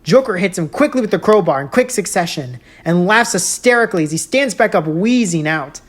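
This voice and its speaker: Low, husky woman narrating. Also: animated, a little fast. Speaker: storyteller. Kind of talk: scripted dramatic narration. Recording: music laid under the voice. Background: music.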